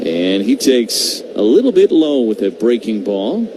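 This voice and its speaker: Man calling baseball over noisy radio. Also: measured pace. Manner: excited home-team calls, conversational between pitches. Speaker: baseball play-by-play announcer. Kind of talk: live radio play-by-play commentary. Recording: noisy live radio broadcast feed.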